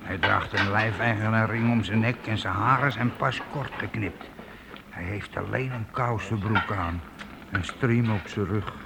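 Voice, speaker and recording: A low, gravelly castle gatekeeper in an old radio drama. He is simple and kindly, confiding haltingly through heavy noise. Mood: anxious, hesitant. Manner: hesitant, confiding, folksy. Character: simple, kind-hearted medieval castle gatekeeper. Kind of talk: radio play acting. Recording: noisy old radio recording.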